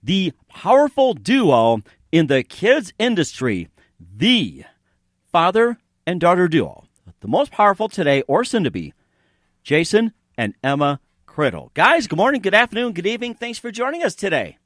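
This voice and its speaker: A male show host with a fairly high voice that swings widely in pitch. He announces and interviews in an upbeat, showy, excitable style, recorded close and dry. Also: moderate pace, no echo. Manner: excitable, showy introductions, repeats himself.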